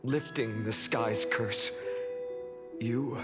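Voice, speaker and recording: An actor playing an earnest young male leader in a video game, speaking in a measured, formal, solemn way. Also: medium pitch, fairly even intonation. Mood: solemn, apologetic. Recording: long reverb, music behind.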